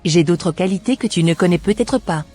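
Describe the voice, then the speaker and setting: Woman voicing a husky, haughty team leader. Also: on the low side, brisk, warm tone, faint music behind, close to an everyday voice.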